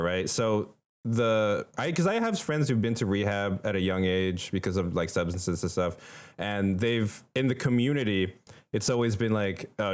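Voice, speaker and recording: A man's voice: low voice, clear, resonant tone. A young man from the Philippines chatting casually as a podcast co-host, in an informal, emphatic and slangy way. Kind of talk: casual conversational chat.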